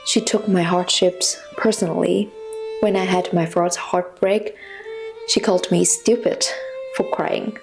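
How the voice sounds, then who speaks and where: A woman in her twenties, a non-native English speaker, narrating a reflective, scripted personal story in a confessional, measured way. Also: lowish voice, gravelly, a little mellow. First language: not English. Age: 20s. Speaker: personal vlogger.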